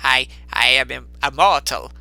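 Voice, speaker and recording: A man voicing a nervous old miser in a dramatic storybook reading, speaking in an emphatic, halting, apprehensive way. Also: mid-range voice, fairly even intonation, gravelly, pushed and bright, dry recording, exaggerated voice. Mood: fearful pleading.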